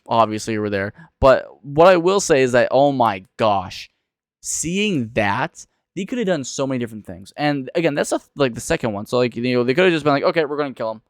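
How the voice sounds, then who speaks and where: A young man with a smooth, clear voice in a very dry recording. He talks like an online reviewer, casual and rambling, and leans on words for emphasis. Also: mid-range voice, dry recording. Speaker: video reviewer and streamer. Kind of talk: casual reaction commentary.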